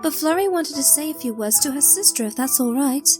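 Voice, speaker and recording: A woman's voice: high voice, lively intonation, fairly quick, a little mellow, soft background music. A woman playing a friendly, polite young maid pony with an apologetic manner in a cartoon drama. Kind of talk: animated fan audio drama.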